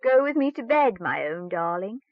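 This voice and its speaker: A woman voicing an enchanted frog in a fairy tale, croaking coaxing, singsong pleas full of endearments. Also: medium pitch, very animated, moderate pace, no room sound, lo-fi sound. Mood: pleading, insistent. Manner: singsong, rhyming entreaties with endearments. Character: goggle-eyed enchanted frog, coaxing and insistent. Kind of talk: fairy tale storybook reading.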